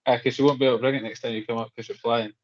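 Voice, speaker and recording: A man's voice: medium pitch, fairly even intonation, very fast. A man co-hosting a casual whisky podcast, making dry, wry quips and reading out tasting notes. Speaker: podcast co-host. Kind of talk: casual chat. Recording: slightly dull lo-fi sound.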